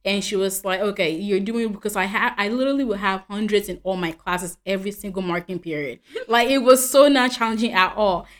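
A woman's voice: mid-range voice, fairly quick, hardly any pauses. A woman from Nigeria chatting casually on a podcast, emphatic and eager to share her own story. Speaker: podcast co-host. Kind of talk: casual chat.

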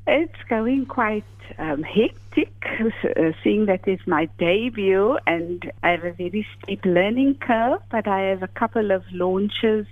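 A woman's voice: medium pitch, takes it slowly, hardly any pauses, no echo. An older South African woman whose first language is Afrikaans, speaking as an author in an interview, thoughtful and hesitant with many fillers. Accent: South African English. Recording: tinny phone line.

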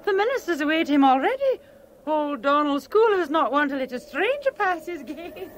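A woman's voice: high-pitched, sing-song, moderate pace, a little hiss or hum. An actress playing a gossipy, knowing Scottish island village woman in a radio drama. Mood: gossipy, wistful.

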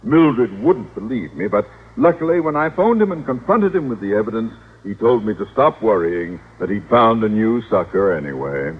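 An actor playing a pompous, blustery middle-aged high school principal in an old-time radio comedy. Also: male voice, lively intonation, natural voice. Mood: self-satisfied. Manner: pompous, blustery, deliberate emphasis. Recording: tinny vintage radio recording with noise.

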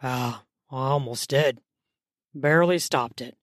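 A woman plays a controlling, teasing man in an erotic audiobook. The voice drops low into a slow, strained murmur, close and dry. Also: no room sound. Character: dominant, teasing male lover, controlled and aroused.

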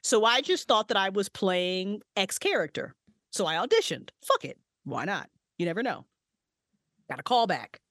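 A woman voice actress and writer, a podcast guest, talking excitedly and swearing freely about her projects. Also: medium pitch, normal speed, dry recording, clean studio sound. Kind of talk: casual podcast interview. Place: small handmade vocal booth.

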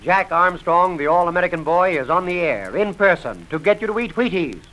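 A man giving a punchy, rousing vintage radio sponsor pitch as an announcer. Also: expressive, brisk, fairly bright tone, rough recording.

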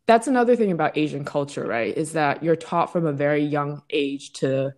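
Lively-voiced woman in reflective chat. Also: low voice, normal speed. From United States. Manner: reflective, storytelling, asks questions. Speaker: conversation partner. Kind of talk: casual conversation.

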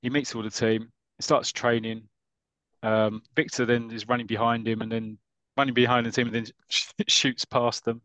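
A man's voice: medium pitch, level tone, moderate pace, fairly bright tone, no echo, low-quality recording. A man hosting a podcast, casually retelling a comic story with lots of ums and restarts. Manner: rambling, lots of ums and restarts, self-mocking.

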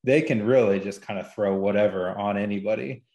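Low-voiced man in an echoey room. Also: a little fast, mellow, muffled recording. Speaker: podcast guest. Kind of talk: casual discussion of game statistics. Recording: roomy, echoey sound.

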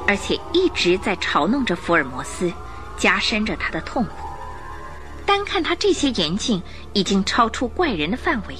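A woman's voice: mid-range voice, a bit bright. A woman narrating a radio drama as a scripted, dramatic story.

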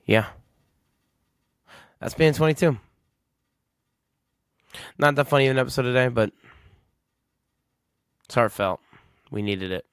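A man's voice: mid-range voice, animated, measured pace, long pauses, clean tone, no echo, studio quality. A man in his twenties hosting a solo podcast, talking casually and reflectively, rambling and often correcting himself. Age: 20s. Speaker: podcast host.

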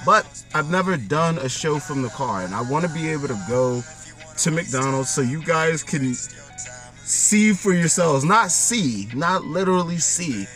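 Hoarse male co-host, rambling and self-mocking, recorded in a car with faint music behind. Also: fairly high pitch, expressive, unhurried, a bit bright. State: losing his voice. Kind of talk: casual podcast chat.

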